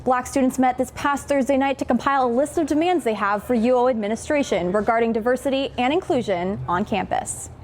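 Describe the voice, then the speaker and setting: High-voiced woman reporting the news. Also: brisk, hardly any pauses, darkish tone. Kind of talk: scripted broadcast news reporting.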